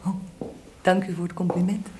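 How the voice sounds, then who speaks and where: An actress playing an eager, awed young female journalist with an animated, inquisitive manner in a radio drama. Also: fairly low pitch, normal speed, noisy background, close to an everyday voice. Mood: amazed.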